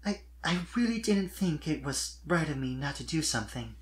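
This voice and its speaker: A performer voicing a sweet, shy but earnest young female pony in a fan fiction reading, soft-spoken and tentative. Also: medium pitch, sing-song, normal speed, close to an everyday voice. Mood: shy, confiding.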